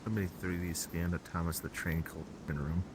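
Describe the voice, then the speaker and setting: A young adult man chatting and teasing casually next to a streamer, with a deep, relaxed voice. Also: a little fast, background noise. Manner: deep, laid-back, teasing. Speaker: streamer's friend. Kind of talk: casual chat beside the streamer.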